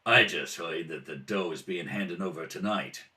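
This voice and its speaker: Scheming gangster club boss, played by a man, slangy and clipped, forceful tone, old radio crime style. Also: deep voice, moderate pace, pitched below the performer's own voice. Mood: businesslike, conspiratorial. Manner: clipped slangy wise-guy delivery. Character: tough, scheming nightclub owner, 1930s gangster type. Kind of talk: old-time radio crime drama acting. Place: indoors at a kitchen table.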